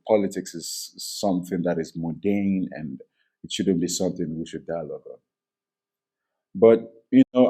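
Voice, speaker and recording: A man's voice: lowish voice, warm tone. A man hosting a podcast in his studio, talking earnestly and rambling with many fillers. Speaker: podcast host. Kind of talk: podcast interview.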